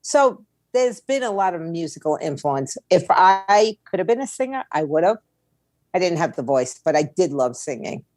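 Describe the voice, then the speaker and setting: A woman's voice: on the low side, big pitch swings, moderate pace, darkish tone, no room sound. Candid woman interview guest, dry sound. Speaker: interview guest, indie label owner. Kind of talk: casual candid interview chat.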